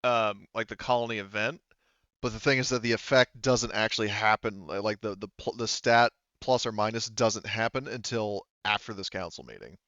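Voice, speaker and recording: A male game master talks through game rules informally. His voice is low and smooth. The recording is dry and close, with a slightly muffled top end. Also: steady tone, normal speed, a bit bright, dry recording. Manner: informal, explanatory. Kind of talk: casual tabletop game talk, explaining rules.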